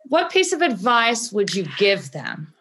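A woman's voice: mid-range voice, wildly swooping pitch, measured pace, fairly bright tone. A woman hosting an interview who asks questions in an encouraging, friendly way. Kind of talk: casual interview.

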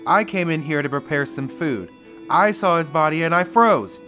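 An actor playing a nervous, defensive cook at a lodge in an old-time radio murder mystery, anxious and protesting. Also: male voice, a little high, lively intonation, moderate pace, sharp tone, faint music behind.

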